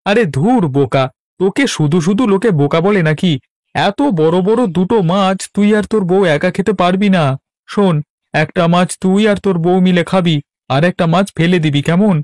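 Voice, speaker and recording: A man plays a crafty young cartoon trickster from a village. His voice is fairly high and wheedling, with mock friendliness, recorded dry. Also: normal speed, darkish tone, dry recording, close to an everyday voice. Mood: cajoling. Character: sly, cajoling young village swindler. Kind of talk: Bengali cartoon voice acting.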